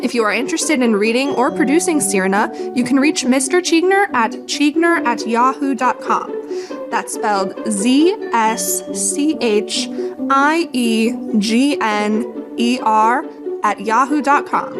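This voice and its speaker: A woman's voice: fairly high pitch, expressive, a little slow. A woman announcer reading a scripted notice before a radio play. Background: loud instrumental music.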